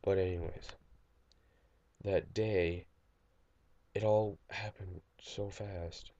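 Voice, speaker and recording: A young man playing a traumatized survivor recording an audio log, halting and distraught, stammering and repeating himself in an amateur audio drama. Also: low-pitched, fairly even intonation, dark tone, dry recording, lo-fi sound. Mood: shaken, rattled.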